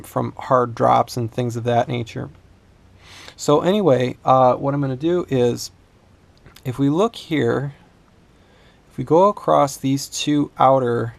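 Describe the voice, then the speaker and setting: A man giving an unscripted hands-on demonstration as a repair instructor, thinking aloud in a halting way. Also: medium pitch, gravelly, dry recording. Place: indoors at a workbench.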